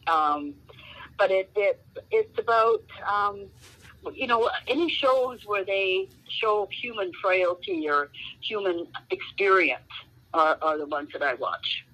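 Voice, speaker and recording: A woman being interviewed, her voice bright and clear at a middling pitch. She wanders through her answers, trailing off into fillers before she finds her point. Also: lively intonation, slow pace, poor audio. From Canada. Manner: rambling, full of "um" and "you know" fillers. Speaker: author guest. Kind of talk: casual interview chat.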